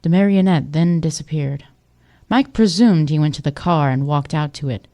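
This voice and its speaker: Woman, narrator, very low pitch, dark mellow tone, scripted audio drama storytelling. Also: normal speed.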